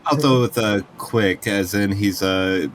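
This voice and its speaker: A man chats and role-plays casually at a tabletop game. He is informal and playful, with a slightly low voice over a faintly noisy background. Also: a little slow, fairly bright tone. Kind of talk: casual chat and role-play.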